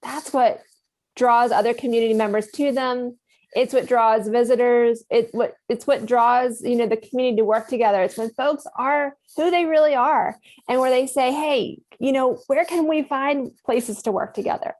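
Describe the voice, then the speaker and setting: A woman hosting a podcast, warmly affirming her guest and asking open questions. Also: a little high, fairly even intonation, moderate pace. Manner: encouraging, affirming. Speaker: podcast host. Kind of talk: conversational interview.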